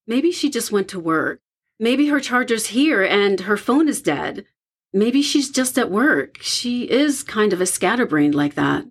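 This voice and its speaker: Actress as animated, nervous young woman. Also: medium pitch, unhurried, breathy edge, full character voice. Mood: uncertain. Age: young adult. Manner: uneasy, earnest. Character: nervous, loyal young woman, uneasy best friend. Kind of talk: audio drama acting.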